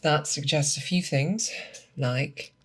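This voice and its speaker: Performer acting monster hunter mentor, ASMR roleplay, slow-paced, methodical, patient, deliberate, gently questioning. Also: medium pitch, husky. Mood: calm, focused. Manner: unhurried, deliberate, coaxing with questions. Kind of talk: ASMR roleplay, scripted character monologue.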